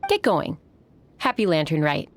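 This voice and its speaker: A woman's voice: low voice, sing-song, unhurried, a little hiss or hum. A woman playing a composed, welcoming innkeeper in a video game, speaking politely as she explains things. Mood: resigned, friendly. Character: composed, welcoming adult female innkeeper, gently wistful. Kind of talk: video game character acting. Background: game background music.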